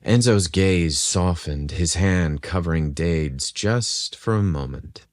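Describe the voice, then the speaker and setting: A man narrating a scripted romantic story in an intimate, unhurried way. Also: deep voice, expressive, no room sound.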